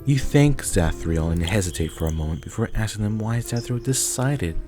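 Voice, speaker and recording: A deep-voiced man narrates a roleplay story in the second person, reading from a script. His voice trails into a long reverb over faint music. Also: lively intonation, normal speed.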